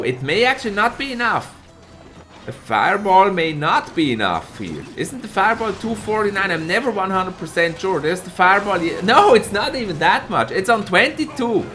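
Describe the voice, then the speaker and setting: A man with a slight cold commentating an esports match and chatting with viewers, laughing often. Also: on the high side. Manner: lively, laughs often, greets chat viewers by name. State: a bit hoarse from a cold. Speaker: esports caster and streamer. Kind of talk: live tournament commentary with chat replies.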